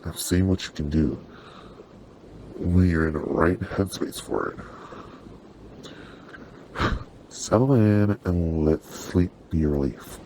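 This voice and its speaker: Performer as kindly male-sounding demon for ASMR roleplay: very low, soft-toned, apologetic, reassuring, processed through a voice changer. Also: slow, pauses often, slight background noise. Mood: tender, encouraging. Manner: soothing, reassuring, conversational. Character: gentle, apologetic sleep paralysis demon comforting a human.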